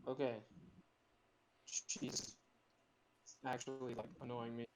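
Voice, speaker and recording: A young man hosts an online read-through in slangy, halting talk, switching into read narration. He is on a home computer mic with faint noise and clicks. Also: medium pitch, level tone. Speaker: host and narrator of a group script read. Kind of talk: casual online group chat with read-out narration. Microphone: computer mic with headphones on a group call.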